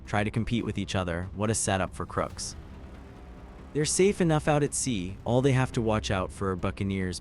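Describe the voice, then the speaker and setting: A breezy, wisecracking fellow from an old comic played by a man, speaking in a lowish voice. His slang is old-fashioned, and faint music runs underneath. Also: darkish tone. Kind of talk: motion comic dub acting.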